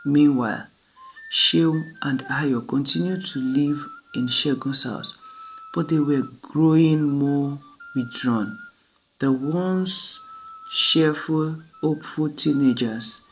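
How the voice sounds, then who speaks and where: A storyteller narrating a scripted dramatic tale in measured, deliberate phrases with frequent pauses. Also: mid-range voice, fairly bright tone, poor audio. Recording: music behind the voice. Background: background music.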